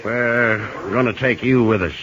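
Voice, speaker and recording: An actor playing a curt, bossy, dryly teasing baseball manager in a 1950s radio drama. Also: male voice, mid-range voice, unhurried, fairly bright tone, dull audio, natural voice. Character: brusque, teasing male baseball team manager. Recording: noisy old radio recording.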